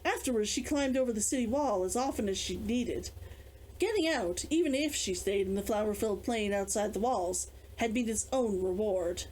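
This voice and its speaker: A woman reading a fantasy novel aloud as its author, with expressive storytelling. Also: mid-range voice, moderate pace, rough recording. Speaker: author reading own book.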